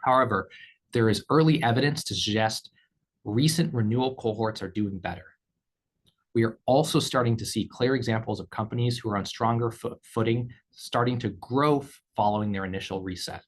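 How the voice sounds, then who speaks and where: A man speaking as a company executive, reading scripted earnings call remarks in a measured, formal way. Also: lowish voice. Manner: measured, formal read delivery.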